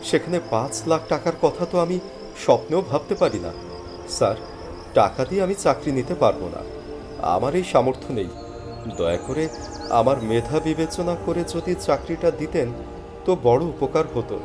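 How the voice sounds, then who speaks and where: A man as an expressive, earnest young job seeker. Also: on the high side, moderate pace, music in the background, natural voice. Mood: humble, pleading. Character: earnest, dejected young male job seeker telling his story. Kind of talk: dramatic first-person scripted story narration with dialogue.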